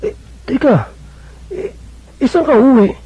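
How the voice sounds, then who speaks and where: A high, raspy young Filipino man plays the smitten suitor in a radio soap. He is timid and easily flustered, stumbling and hesitating over his words. The recording is dull and lo-fi. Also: animated, measured pace, full character voice. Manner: hesitant, stammering. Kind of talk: Filipino radio drama acting.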